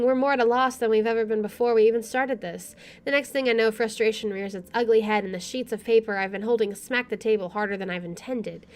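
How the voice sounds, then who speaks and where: A woman playing through a game, reading its narration aloud with wry, sweary casual commentary. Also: on the high side. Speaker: let's player.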